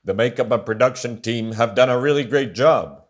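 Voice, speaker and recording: A man voicing an excitable male TV puppet in a fan-dubbed comedy show, hammy and theatrical in delivery. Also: medium pitch, moderate pace, natural voice. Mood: solemn.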